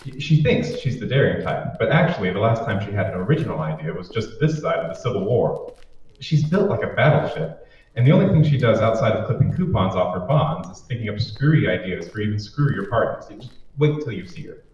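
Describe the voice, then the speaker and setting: A man's voice: fairly low pitch, expressive, fairly quick, a little mellow, natural voice. A man playing a wisecracking, reluctant boyfriend in an old-time radio drama, sardonic and grumbling. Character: wisecracking, reluctant boyfriend griping about his boss's parties. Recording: muffled, very echoey, distant, faint noise.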